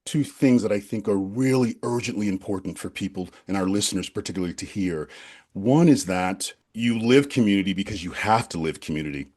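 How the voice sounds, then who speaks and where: A man's voice: lowish voice, fairly quick, darkish tone. A man co-hosting a podcast who asks enthusiastic, long follow-up questions. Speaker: podcast co-host. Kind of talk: interview hosting.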